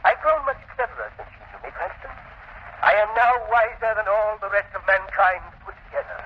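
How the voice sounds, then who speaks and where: An actor playing a mad, vengeful old professor in 1930s radio drama style, grandiose, menacing and coolly condescending. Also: male voice, high-pitched, expressive, brisk. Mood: boastful. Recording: noisy, muffled 1930s radio recording.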